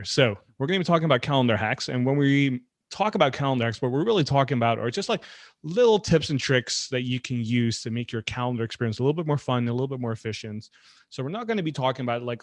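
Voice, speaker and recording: A man's voice: mid-range voice, dry recording, studio quality. A man from the Netherlands co-hosting a podcast, chatting casually with plenty of fillers. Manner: conversational, loose, with many fillers. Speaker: podcast co-host.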